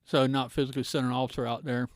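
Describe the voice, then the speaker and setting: A man's voice: mid-range voice, little pitch movement, racing, no echo. A middle-aged American man hosting a casual interview, folksy and colloquial, putting probing questions to his guest. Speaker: guest host, former law enforcement officer.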